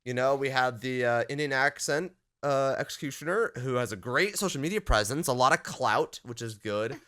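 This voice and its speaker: A man playing the interviewer, summing up the candidates in a dry, measured way with small hesitations. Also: mid-range voice, a bit bright. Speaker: interviewer in an improv card game. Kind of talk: casual summing up.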